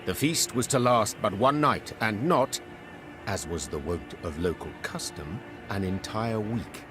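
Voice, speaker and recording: A man narrating a fantasy video game like a storybook, composed, wry and measured in a literary way. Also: on the low side, music in the background, lightly acted.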